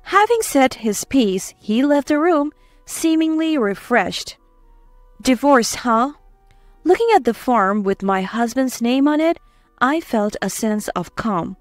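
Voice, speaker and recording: A woman reading a first-person dramatic story as narrator, in an expressive, emotive way. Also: a little high, slow, no room sound. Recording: dry close voice over faint music. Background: soft background music.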